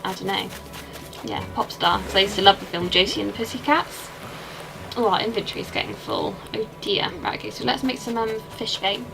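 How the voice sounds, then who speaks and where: A young woman with an English accent chats as she streams a game, rambling from one thought to the next and answering her chat. Her voice has a gravelly, fried edge at a middling pitch. Game music plays clearly behind her. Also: big pitch swings, brisk, low-quality recording. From England. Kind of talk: casual chat while playing a game.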